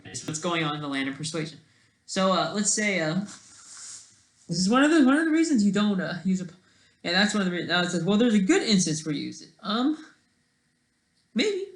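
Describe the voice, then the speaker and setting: A person thinking aloud in a casual, digressive commentary over study notes, halting often and talking in an informal, self-deprecating way. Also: medium pitch, big pitch swings. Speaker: solo online commentator.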